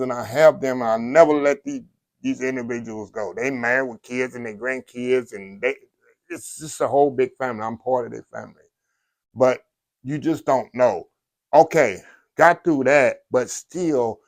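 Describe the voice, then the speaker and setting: A man's voice: mid-range voice, no room sound. An earnest man in his fifties with a drawl tells a heartfelt personal story straight to his viewers, circling back over his words. His voice sits mid-range, recorded dry and close in a small room. The tone stays plain and sincere. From Texas. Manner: earnest, repeats phrases, addresses viewers as y'all. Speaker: vlogger sharing a personal story. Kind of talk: emotional personal testimony.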